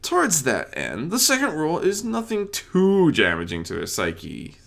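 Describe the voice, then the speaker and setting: A man acts out a playful spirit of chaos in a fan audio story. His pitch swings wildly as he toys with his words, stretching phrases like a showy, teasing host. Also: huge pitch swings, gravelly, a bit bright, exaggerated voice. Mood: explaining. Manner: theatrical, teasing, drawn-out phrasing.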